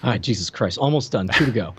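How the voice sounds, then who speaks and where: A man's voice: on the low side, lively intonation, fast, noisy background. A man co-hosting a podcast, chatting casually about film and television with wry, joking asides. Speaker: podcast co-host.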